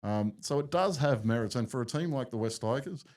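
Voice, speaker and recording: A man's voice: mid-range voice, animated, brisk, a little mellow. A man, co-hosting a casual sports podcast and arguing his view steadily. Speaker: podcast co-host.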